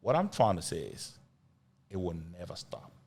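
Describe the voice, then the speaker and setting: A man's voice: low voice, very animated, normal speed, breathy edge. A man hosting a casual discussion, reflective and leaning on filler phrases as he thinks aloud. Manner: reflective, leans on "you know", soft-spoken. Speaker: host.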